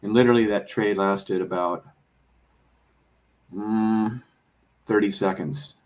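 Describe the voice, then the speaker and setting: Hoarse man explaining trading casually. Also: lowish voice, fairly even intonation, slow, a little mellow. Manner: rambling, explanatory, many fillers and restarts. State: hoarse, losing his voice. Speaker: trader. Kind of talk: casual conversation.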